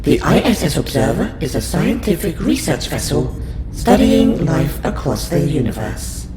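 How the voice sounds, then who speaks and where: A man's voice: fairly high pitch, very animated, background noise. A man voicing a polite, patient alien researcher in an audio roleplay, speaking formally and precisely to a human. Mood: formal, courteous. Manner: formal, precise, courteous, measured. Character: polite, patient male alien scientist abductor interviewing a human. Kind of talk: audio roleplay addressed to the listener. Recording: roomy echo, loud background music bed. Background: music.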